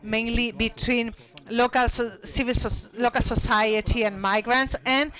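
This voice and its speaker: A panel speaker at a conference, presenting study findings in a slow, halting way with frequent restarts. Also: medium pitch, steady tone, fairly bright tone.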